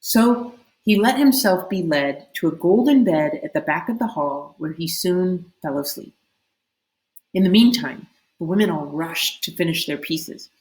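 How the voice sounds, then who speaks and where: Woman, storybook narration, lowish pitch, expressive, deliberate dramatic emphasis, roomy echo. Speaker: read-aloud storyteller. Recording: echoey room.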